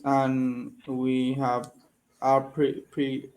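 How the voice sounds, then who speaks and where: A Mexican man with a Mexican Spanish accent, a native Spanish speaker, answering in halting English as a student on a video call. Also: medium pitch, completely flat delivery, darkish tone, poor audio. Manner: halting learner English with pauses and self-corrections. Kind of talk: casual online lesson chat.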